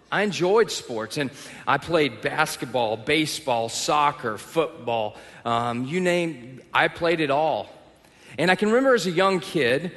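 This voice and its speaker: A man's voice: on the high side, a bit bright. A young male pastor from Texas with a Texan accent, preaching a sermon and telling personal stories with self-deprecating humor. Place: church auditorium. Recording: long reverb of a large hall.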